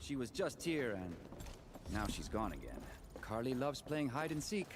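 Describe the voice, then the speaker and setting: A man's voice: mid-range voice, very animated, moderate pace, noisy background, close to an everyday voice. A man voicing a kindly, grateful medical bay worker in a video game, earnest and polite. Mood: fond, concerned. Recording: game dialogue over music.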